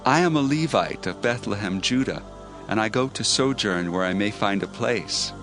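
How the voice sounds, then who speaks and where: Young ancient traveller, plain-spoken, slightly low, in a Bible drama with music behind. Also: male voice, animated, normal speed. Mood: calm. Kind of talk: dramatized audio Bible acting.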